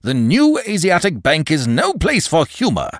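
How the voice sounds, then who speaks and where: A man's voice: low-pitched, huge pitch swings, normal speed, a bit bright, dry recording, heavily acted. This is a man putting on a stern, pompous bank manager from a storybook. He scolds in stiff, indignant formal phrases that rise and fall sharply, recorded very dry. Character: pompous, exasperated male bank manager, stern and easily angered. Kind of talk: audiobook character dialogue.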